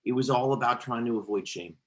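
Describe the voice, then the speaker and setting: A man's voice: medium pitch, fast. A man who stutters, a podcast guest telling his own story in a reflective, conversational way, with repeated words and restarts. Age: adult.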